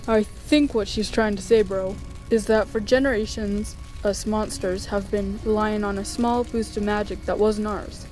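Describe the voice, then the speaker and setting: A woman's voice: medium pitch, fairly even intonation, a little slow, a little mellow, soft background music, natural voice. A woman voicing a tired, easygoing skeleton big brother in a comic dub, casual and reassuring. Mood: explaining.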